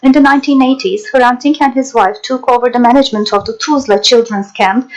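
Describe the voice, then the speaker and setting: Higher-pitched woman narrating formally. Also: rough recording. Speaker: narrator and interpreter. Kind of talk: scripted documentary narration and voice-over translation.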